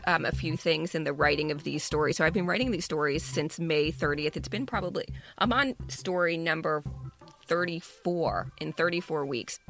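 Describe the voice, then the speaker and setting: A woman's voice: low-pitched, gravelly, fairly bright tone. A woman in her fifties, a podcast co-host and writer, talking casually and reflectively, repeating words for emphasis. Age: 50s.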